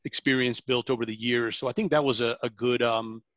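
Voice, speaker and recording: A man in his forties from Venezuela speaks as a podcast guest, pausing often on ums and restarting his sentences. The sound is dull and a bit lo-fi, with a dry, close feel. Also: medium pitch, fairly even intonation, a bit bright, no room sound. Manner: halting, many ums and restarts. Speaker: sales director, podcast guest. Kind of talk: interview conversation.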